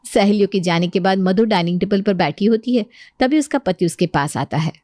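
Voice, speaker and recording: A woman's voice: mid-range voice, a little fast, mellow, no echo, clean studio sound. A woman storyteller reading scripted narration for a moral story.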